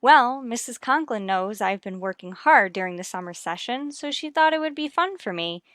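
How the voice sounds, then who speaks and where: An American actress playing a wry, sardonic schoolteacher with dry delivery in a vintage radio sitcom. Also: female voice, fairly high pitch, expressive, moderate pace, fairly bright tone, no echo. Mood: coy, wry.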